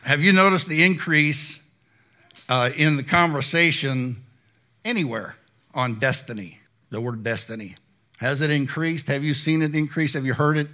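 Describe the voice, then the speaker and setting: A man with a slightly high, bright, forceful voice teaches a church class. He reads with slow, dramatic pauses, then turns to the audience and peppers them with questions. He speaks into a handheld microphone. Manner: asks the audience questions, admits he has a loud voice. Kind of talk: sermon with dramatic reading.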